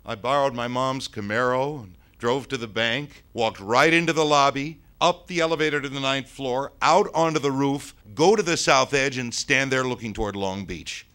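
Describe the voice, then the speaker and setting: A man acts out a flippant male ghost for a horror story. The voice is pushed up high and forceful, with a theatrical, taunting swagger and a smarmy false sincerity. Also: big pitch swings, slow pace, full character voice. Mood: loud, excited. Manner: theatrical, mock-sincere, swinging into loud exclamations.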